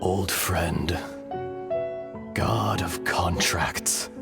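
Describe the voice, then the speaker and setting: An ancient dragon spirit in a game, low and very unhurried. He speaks with stately, resigned solemnity and old-fashioned pride. Loud music plays behind him. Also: creaky, full character voice. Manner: grave, stately, archaic phrasing. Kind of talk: dramatic scripted video game dialogue.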